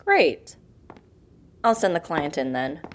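A woman playing a breezy, deadpan office assistant in an audio drama comedy, with an offhand, dry manner. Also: low-pitched, slow, slight background noise, heavily acted.

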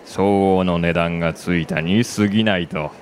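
An actor playing a calm, imperious company chairman in a radio drama, measured and polite but haughty. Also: low-pitched, slight background noise, natural voice. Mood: haughty composure. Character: calm, imperious male company chairman obsessed with human extremes. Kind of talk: Japanese radio drama acting.

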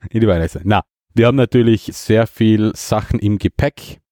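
A man's voice: lowish voice, a little fast, mellow, no echo, clean studio sound. A man with an Austrian accent hosting a podcast in casual, rambling, jokey chat. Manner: jokey, self-mocking. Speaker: podcast host.

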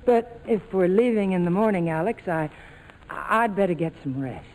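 A woman's voice: on the low side, lively intonation, normal speed, darkish tone, lightly acted, low-quality recording. An actress playing a scheming, world-weary young woman in 1940s radio drama style, brittle and dryly sarcastic. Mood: cool scorn.

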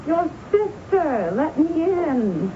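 An actress playing a flirtatious, self-pitying sister who coaxes and pleads, in a 1970s radio drama. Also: female voice, high-pitched, big pitch swings, slow pace, heavily acted. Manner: coaxing, pleading, breezy. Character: vain flirtatious married woman, self-pitying and wheedling. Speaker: the narrator's sister. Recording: muffled old radio broadcast with faint hiss.

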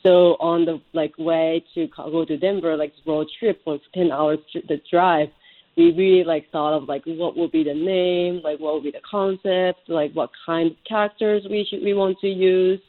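A woman's voice: fairly low pitch, steady tone, moderate pace, phone-quality sound. A woman from Japan, answering interview questions conversationally and searching for words as she goes. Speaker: interview guest, startup co-founder.

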